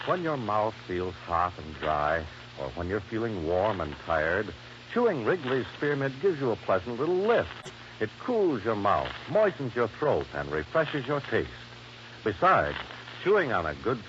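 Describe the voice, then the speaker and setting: A man's voice: fairly low pitch, lo-fi sound. An American man announcing and reading adverts on 1950s radio in a polished, upbeat sales manner. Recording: noisy, band-limited old radio recording.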